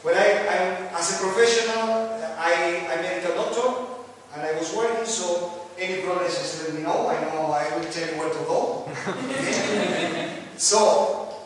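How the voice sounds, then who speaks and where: Middle-aged Argentine-accented lecturer, high for a man, halting and joking, heard from far off in an echoey hall. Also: a little hiss or hum. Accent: Argentine Spanish. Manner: halting, self-correcting, joking with the audience. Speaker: lecturer and coach. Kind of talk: live lecture to an audience.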